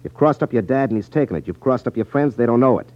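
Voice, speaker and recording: Actor as a brisk, blunt tough guy. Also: mid-range voice, lively intonation, lightly acted. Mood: blunt, commanding. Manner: brusque, challenging. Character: tough, blunt, hotheaded man spoiling to confront crook. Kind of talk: 1950s radio drama acting. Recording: dull 1950s radio broadcast recording.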